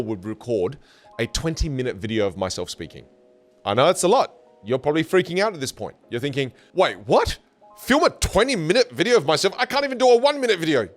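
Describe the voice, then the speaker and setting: A male communication teacher whose first language is Teochew gives an online English lesson. His voice swoops up and down as he jokes and explains. His pitch sits in a middle range, with faint music playing underneath. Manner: animated, jokey, lively delivery.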